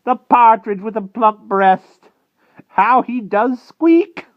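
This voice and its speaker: A man's voice: extremely high pitch, animated, slow, dry recording, lo-fi sound, voice pushed up, heavily acted. A man voicing a cruel storybook witch, an older woman who gloats and coos with theatrical menace. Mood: cruel glee. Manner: theatrical cooing, gleefully menacing.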